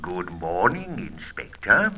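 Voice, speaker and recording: A suave gentleman adventurer from an old radio serial. His speech is urbane and wordy, with very wide melodic swings and a slightly rough, husky tone. He sounds assured and gently mocking. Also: deep voice, wildly swooping pitch, slow, dull audio, exaggerated voice. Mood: teasing, suave. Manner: urbane, wordy, theatrical British gentleman diction. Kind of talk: old radio serial acting, with first-person narration.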